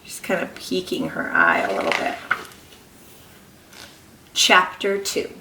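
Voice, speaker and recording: A woman kindergarten teacher reading a story aloud to young children, expressive and encouraging, pausing to ask them questions. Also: very deep voice, distant mic. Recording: echoey room.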